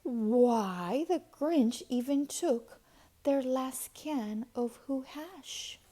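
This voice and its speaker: A woman reading a children's storybook aloud in an expressive, deliberate, dramatic way, hushed at times. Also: sits high. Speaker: storybook reader.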